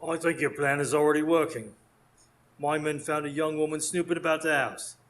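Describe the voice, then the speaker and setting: A man acts out an eager, officious inspector for a live radio drama. He is brusque and blustery, with a fairly high male voice that flusters easily. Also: steady tone, brisk, fairly bright tone, slight background noise, natural voice. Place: performance space in front of a live audience.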